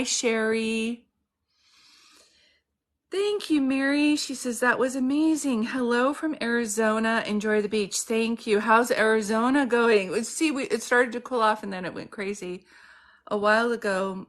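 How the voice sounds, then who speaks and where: Brief: middle-aged woman, mid pitch, casual livestream host, chatty, greets viewers by name, phone mic. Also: a bit bright. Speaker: psychic medium host. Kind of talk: casual live-stream chat. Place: home in Santa Cruz.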